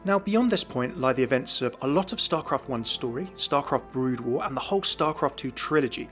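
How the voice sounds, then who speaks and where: A man's voice: medium pitch, expressive, a little fast, fairly bright tone, soft background music. A man hosting a video, giving scripted narration of video game lore.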